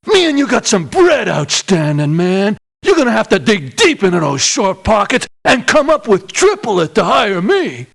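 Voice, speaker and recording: An actor playing a laid-back, cash-hungry rocker mercenary in a video game, raspy and gravelly, with a slangy drawl. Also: male voice, high-pitched, huge pitch swings, moderate pace, hardly any pauses, a bit bright, full character voice. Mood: cocky, wry. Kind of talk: video game character voice acting.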